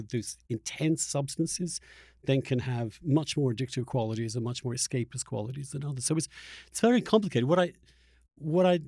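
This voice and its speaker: A man hosting a conversational interview, thinking aloud in a discursive, halting way as he works toward his questions. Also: medium pitch, vocal fry, darkish tone, no room sound, studio quality. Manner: musing, discursive, builds long questions haltingly. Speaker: podcast interviewer, host.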